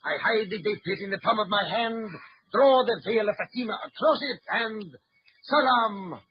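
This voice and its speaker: An actor playing a pious old Arabian street juggler with chanting, declamatory patter in a 1940s radio drama. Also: male voice, high-pitched, moderate pace, breathy edge. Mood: showy. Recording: muffled, hissy old radio recording.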